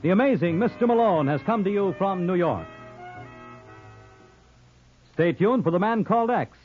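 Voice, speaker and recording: A man announces in formal, polished old-time radio style. His voice is on the higher side with very animated intonation. The recording is dull and aged, with music. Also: moderate pace. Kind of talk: 1950s radio announcing, scripted. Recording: muffled vintage radio broadcast with music.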